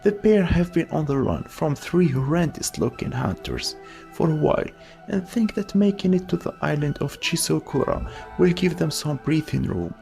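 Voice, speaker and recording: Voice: mid-range voice, sing-song, moderate pace, gravelly, a little mellow, soft background music. A narrator reading a scripted voice-over commentary with opinionated, wry asides. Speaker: video essay narrator.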